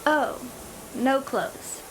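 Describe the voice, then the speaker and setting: An actress playing an earnest, literal-minded young alien visitor in an audio drama, precise and bluntly puzzled. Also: female voice, sits high, very slow, poor audio. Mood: blunt, awkward. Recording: loud music under the voice.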